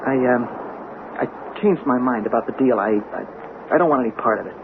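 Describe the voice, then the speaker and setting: An actor playing a defensive, bitter young man accused of murder in a radio drama, pleading and halting. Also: mid-range voice, lightly acted. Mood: uneasy, resolute. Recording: noisy, narrow-band vintage radio recording.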